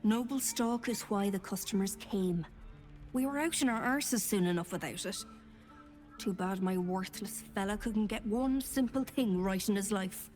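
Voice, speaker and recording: A woman's voice: medium pitch, normal speed, a little mellow, soft background music, lightly acted. An actress playing a weary, sarcastic, gossipy city woman in a fantasy video game. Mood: wry grumbling. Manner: sarcastic, grumbling. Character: sardonic, hard-bitten city woman shopkeeper, weary and gossipy. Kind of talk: video game character acting.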